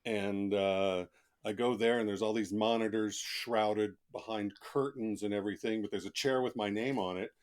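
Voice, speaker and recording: A man with a fairly low voice talks as an interview guest. He is talkative and conversational, reflecting on his experience as an author. His explanations come in plain, informal asides. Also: fairly even intonation, normal speed.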